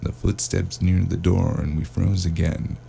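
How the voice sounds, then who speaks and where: Male actor as world-weary cyberpunk investigator, rock-bottom pitch, gravelly fry, wry, noir audio drama delivery. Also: level tone, measured pace, mellow, slight background noise, exaggerated voice. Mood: wry narrating. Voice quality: very deep, gravelly. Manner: wry, hard-boiled storytelling. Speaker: narrator and lead character.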